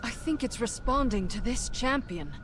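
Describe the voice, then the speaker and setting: A woman's voice: a little high, expressive, normal speed, background noise, close to an everyday voice. A woman playing a resolute, urgent female war leader in a fantasy video game. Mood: grave, determined. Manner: commanding, urgent.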